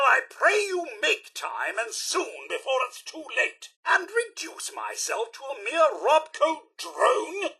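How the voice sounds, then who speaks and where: An actor playing a loyal, disillusioned robot butler in a video game, with a British accent and a formal, theatrical manner full of wounded propriety. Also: male voice, very high-pitched, vocal fry, bright, forceful tone, exaggerated voice. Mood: pleading, then indignant. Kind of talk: video game character dialogue.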